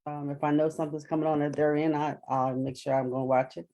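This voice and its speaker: Low, mellow-voiced woman chatting casually. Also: level tone, fast. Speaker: interview guest. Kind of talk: casual chat.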